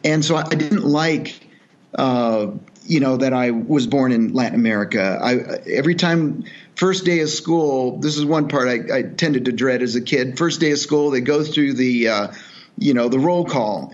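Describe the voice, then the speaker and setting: A man's voice: medium pitch, moderate pace. Earnest middle-aged man, slightly muffled audio. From El Salvador. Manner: earnest, reflective, many fillers and restarts. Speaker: podcast guest, charity executive. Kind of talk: relaxed storytelling interview.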